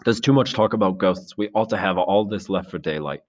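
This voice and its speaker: A man's voice: low voice, fairly quick, lightly acted. A man voicing a boy leader in a storybook, insistent and exasperated as he tries to keep order while unsure of himself. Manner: insistent, exasperated, plain-spoken.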